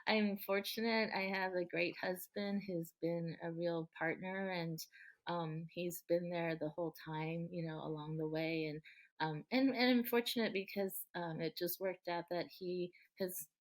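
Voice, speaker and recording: Woman, middle-aged, lowish pitch, earnest, chatty, ums and restarts, light laughs. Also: fairly even intonation, measured pace. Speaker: guest, local politician. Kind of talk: relaxed conversational interview.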